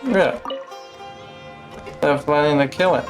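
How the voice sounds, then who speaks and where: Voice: medium pitch, takes it slowly. A game streamer giving casual, blunt, sweary live commentary. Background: game music.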